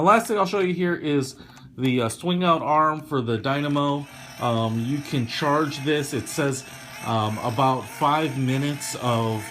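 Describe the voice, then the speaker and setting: A man giving a casual, hands-on gadget demonstration in an explanatory, measured way, hedging his opinions as a product reviewer. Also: lowish voice, slight background noise.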